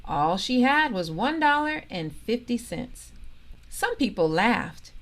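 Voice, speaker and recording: A woman reading a children's storybook aloud in an expressive, deliberate way, pausing for emphasis. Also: on the high side. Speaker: storybook reader, organisation president.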